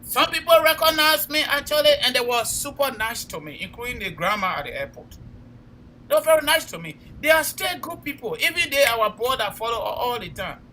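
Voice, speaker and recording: A man's voice: very high voice, lively intonation, brisk, bright, forceful tone, slight background noise, poor audio. A man with a Liberian accent giving impassioned political commentary, speaking emphatically and exhorting listeners with repeated phrases. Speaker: co-host of a political talk show.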